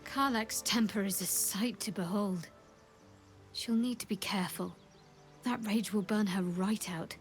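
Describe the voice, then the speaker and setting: An actress playing a guarded, devout young priestess of a dark goddess in a video game, measured and secretive, solemn with a dry edge. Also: female voice, medium pitch, soft background music, close to an everyday voice. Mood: wry. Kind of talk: video game character dialogue.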